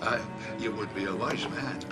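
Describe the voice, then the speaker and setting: An actor playing a devout older priest in a video game, grave and wry, with a measured, lyrical storytelling manner. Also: male voice, on the low side, lightly acted. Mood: grave, resolute. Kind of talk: video game cut-scene acting. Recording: music behind the voice.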